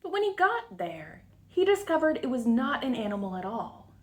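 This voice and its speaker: A woman reading a storybook aloud to children, expressive and deliberate, with little asides to the listeners. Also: high voice. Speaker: children's story time reader.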